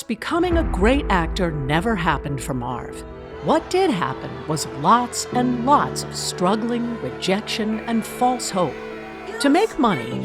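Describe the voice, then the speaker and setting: Documentary narrator woman acting, lively intonation, scripted storytelling over clear music. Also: mid-range voice. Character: documentary narrator telling a mock biography.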